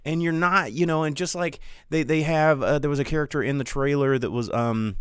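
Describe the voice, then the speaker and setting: A man's voice: a little high, brisk, smooth, no room sound, studio quality. A man hosting a podcast, talking casually in a rambling, emphatic, exasperated rant. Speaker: podcast host.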